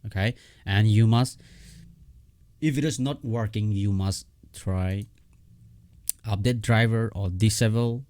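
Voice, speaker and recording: A man giving a casual, unscripted software tutorial in a halting, repetitive way, often checking in with his listener. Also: on the low side.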